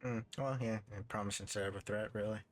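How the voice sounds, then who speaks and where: A man's voice: fairly low pitch, fairly even intonation, quick pace. A young man giving casual, slangy live commentary as he streams a video game. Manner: laid-back, slangy. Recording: game music behind the voice.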